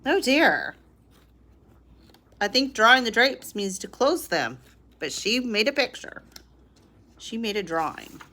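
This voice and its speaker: A woman's voice: a little high, big pitch swings, takes it slowly, pauses often, pushed and bright. A woman reading a picture book aloud as a bedtime story for children, expressively, with small reacting asides to listeners.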